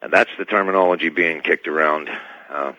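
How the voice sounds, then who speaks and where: A man speaking as a guest in a radio interview, choosing his words carefully and formally, with hesitant, measured phrasing. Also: extremely low pitch, pushed and bright, no echo. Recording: dull band-limited radio line.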